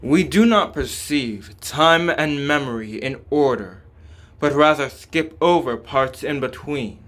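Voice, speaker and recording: A man voicing a calm, devoted surgeon in a game, with a deliberate, explanatory manner. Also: on the high side, a little hiss or hum. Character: calm male surgeon devoted to protecting humans. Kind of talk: scripted game dialogue reading.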